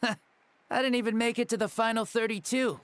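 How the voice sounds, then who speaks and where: A man's voice: very high-pitched, steady tone, fairly quick, clear, resonant tone. A man voicing a youthful, self-possessed legendary warrior in a fantasy video game, terse and modest. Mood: amused. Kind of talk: game voice acting.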